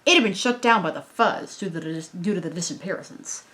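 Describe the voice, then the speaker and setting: A woman voicing a male android detective in deadpan, melodramatic noir monologue, as in an amateur cartoon reading. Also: fairly low pitch, a little fast, close to an everyday voice. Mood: hardboiled, wry. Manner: deadpan, overly descriptive, melodramatic. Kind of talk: hardboiled detective narration, amateur dramatic reading.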